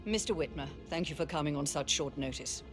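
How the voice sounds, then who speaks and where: An actress playing a stern older lady of a wealthy household, formal and measured, in a video game. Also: low-pitched, over music, close to an everyday voice. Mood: grave, brisk. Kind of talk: video game cutscene acting.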